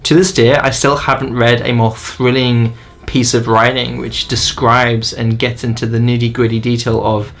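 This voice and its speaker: A man's voice: medium pitch, flat delivery, normal speed. A man narrating a scripted book review in an enthusiastic, opinionated way. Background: faint music.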